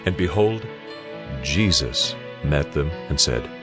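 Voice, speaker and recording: A man narrating scripture in a solemn, measured, dramatic scripted style. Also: rumbling low, expressive, music in the background.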